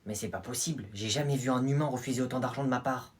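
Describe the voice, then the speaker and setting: A performer voicing a spoiled rich boy who calls himself a prince, boastful and muttering in a lowered voice. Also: fast, close to an everyday voice. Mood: scheming. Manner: smug, boastful, low and muttered.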